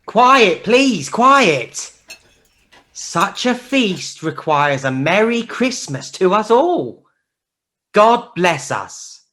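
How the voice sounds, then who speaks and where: A woman playing a gentle, hopeful father in a radio play, speaking in a tender, measured way. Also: mid-range voice, sing-song, a little hiss or hum, heavily acted. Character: gentle, hopeful working-class father of a family.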